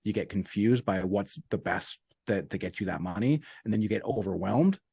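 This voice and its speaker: Male coach guest, lowish voice, earnest and repetitive, casual talk, dry close recording. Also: normal speed, vocal fry, dark tone, slightly rough, no echo. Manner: earnest, repeats key sayings, uses "man" and "you know". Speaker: business and life coach guest.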